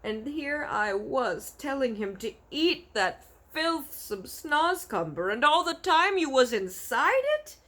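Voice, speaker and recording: A woman's voice: sits high, very animated, takes it slowly, hardly any pauses, fairly bright tone, voice pushed up, full character voice. A woman voicing a kindly, innocent male giant in a storybook, earnest and dignified with muddled grammar. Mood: tender, concerned.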